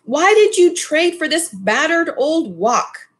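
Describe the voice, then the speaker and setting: A woman's voice: sits high, sing-song, slow, pitched above the performer's own voice, heavily acted. A woman voicing an exasperated, scolding poor mother from a children's storybook. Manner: scolding, questioning.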